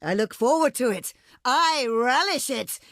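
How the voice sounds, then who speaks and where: A woman's voice: sits high, lively intonation, a little slow, a bit bright, no room sound, studio quality, heavily acted. A woman playing a proud, imperious ancient desert queen for a video game, speaking in a regal, theatrical, declamatory way. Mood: menacing, scornful. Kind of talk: video game diplomacy voice lines.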